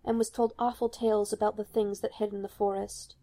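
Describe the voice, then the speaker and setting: Woman voicing a low-voiced young woman, steady, menacing, gravelly. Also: a little fast, natural voice. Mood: cold resolve. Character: young woman locked in a tower, quietly dangerous.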